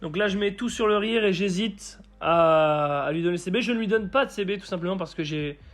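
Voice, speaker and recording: A man with a hoarse voice from a cold, commentating a card game match he played and explaining each play step by step. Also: high voice, brisk. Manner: explains plays step by step with frequent fillers.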